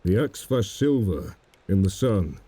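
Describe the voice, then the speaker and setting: A man narrating a dramatic poem in a solemn, measured manner. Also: low voice, sing-song, warm tone, slightly rough. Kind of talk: dramatic poetry recitation.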